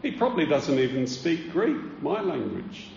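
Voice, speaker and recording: A man's voice: on the high side, huge pitch swings, moderate pace, creaky, darkish tone, far from the mic, a little hiss or hum, full character voice. A male preacher voicing a puzzled early evangelist musing wryly to himself, in a roomy, dull church hall recording. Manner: wry inner musing, chatty asides.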